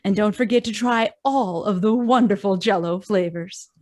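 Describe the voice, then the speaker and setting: A woman's voice: moderate pace, darkish tone, dry recording, clean studio sound, natural voice. A woman reading an upbeat, persuasive old-time radio commercial over a video call. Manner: upbeat, persuasive sales pitch. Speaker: radio announcer. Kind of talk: vintage radio ad.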